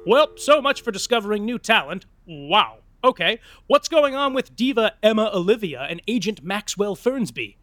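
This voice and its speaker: An actor playing an arch, conspiratorial narrator who gossips through a scripted showbiz comedy podcast. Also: male voice, sits high, sing-song, normal speed, fairly bright tone, no echo. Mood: gossipy. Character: gossipy, theatrical male narrator eavesdropping on showbiz drama.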